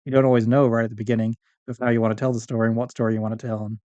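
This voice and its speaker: A man's voice: mid-range voice, little pitch movement, racing, warm tone, no room sound, muffled recording. A man talking casually as a podcast guest, in a rambling, anecdotal manner. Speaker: podcast guest, audio fiction writer. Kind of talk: casual pop-culture conversation.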